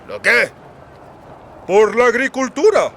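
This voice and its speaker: A man's voice: very high voice, very animated, slow pace, fairly bright tone, slight background noise, voice pushed up, full character voice. A man playing a pompous, indignant fat townsman with emphatic, self-important delivery in an audiobook. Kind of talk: audiobook character acting.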